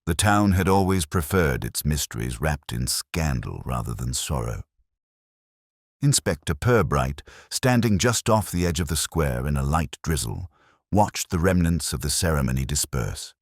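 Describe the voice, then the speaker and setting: Male narrator for literary mystery: bottom-register voice, slightly husky, wry and measured delivery. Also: very deep voice, expressive, dry recording, studio quality. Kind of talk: scripted narration of a mystery story.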